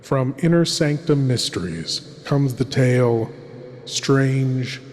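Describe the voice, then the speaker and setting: Man as slow, portentous horror host, cavernous reverb. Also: mid-range voice, animated, darkish tone. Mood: solemn, ominous. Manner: slow, portentous, deliberate pauses. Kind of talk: scripted horror anthology introduction. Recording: cavernous long reverb, faint noise.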